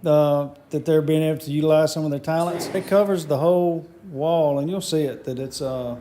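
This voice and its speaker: A man, a prison warden, answering interview questions in an office with halting, hesitant phrasing. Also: a little high, steady tone, mellow, slight background noise.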